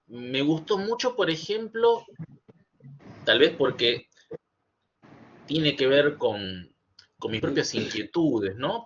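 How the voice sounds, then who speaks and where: An Argentine man with a bright, forceful voice and very lively intonation. He moderates an academic lecture, thinking aloud with emphatic, deliberate phrasing. The sound is somewhat lo-fi. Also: mid-range voice. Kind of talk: reflective academic commentary. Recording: dull, lo-fi online audio.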